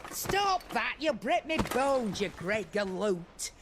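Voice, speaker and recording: An actress playing a gruff but fond older woman in a video game, scolding and wry. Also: on the high side, big pitch swings, slow pace, background noise.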